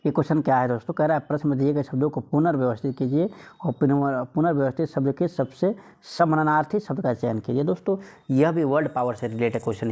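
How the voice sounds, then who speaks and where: A man's voice: mid-range voice, darkish tone. A man teaching an informal exam lesson, repeating phrases and putting rhetorical questions to students. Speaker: maths exam coach.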